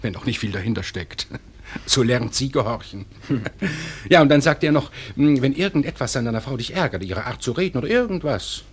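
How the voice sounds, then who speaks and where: An actor playing a genial, bumbling middle-aged father in a radio play, hesitant and halting, with wry humour. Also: medium pitch, expressive, husky. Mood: halting, confiding. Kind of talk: German radio play acting.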